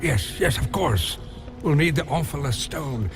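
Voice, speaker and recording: An actor playing an anguished, scheming old giant smith god in a video game cutscene, halting and theatrical. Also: male voice, a little high, lively intonation, gravelly. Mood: ingratiating, scheming. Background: cinematic game music.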